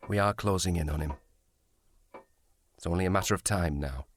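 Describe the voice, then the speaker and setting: An actor playing a courteous, reserved police sergeant in a period audio drama, speaking in a formal, measured manner. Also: male voice, sits low, a little hiss or hum, close to an everyday voice. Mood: polite, guarded.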